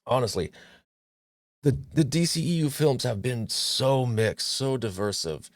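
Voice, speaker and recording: A man's voice: medium pitch, lively intonation, unhurried, dry recording, studio quality. A man hosting his own movie talk show, chatting casually with jokes and plenty of restarts. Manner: joking, rambling, frequent restarts and fillers.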